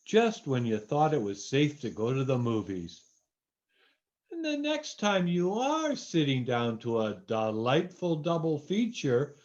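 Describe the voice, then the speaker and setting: Folksy radio pitchman played by a man: showy, unhurried, higher-pitched voice, slightly dull sound. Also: a little mellow. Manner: hammy, deliberate pitchman delivery. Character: folksy, showy radio announcer selling toffees. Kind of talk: old-time radio announcing.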